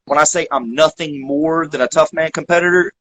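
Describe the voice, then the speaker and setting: A man's voice: a little high, a little fast, fairly bright tone, low-quality recording. A middle-aged man with a Southern accent, hosting a casual livestream interview in a folksy, effusive way. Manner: folksy and effusive, thanks guests at length. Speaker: interview host.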